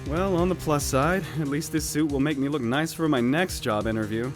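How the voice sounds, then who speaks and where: A man's voice: a little high, moderate pace, lightly acted. A man playing a nervous, clueless young defence lawyer who rambles sheepishly in a comic courtroom game fan dub. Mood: wry, naive. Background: background music.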